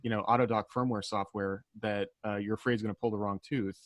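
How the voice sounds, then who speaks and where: Male panelist, casual, lower register, rapid conversational delivery. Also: fairly even intonation, no echo. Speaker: podcast panelist, author. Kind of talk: casual chat.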